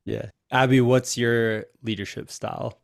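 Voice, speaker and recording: A middle-aged man hosting a casual interview, with frequent short agreements and lively reactions. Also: medium pitch, measured pace, gravelly, dry recording, studio quality. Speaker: podcast host.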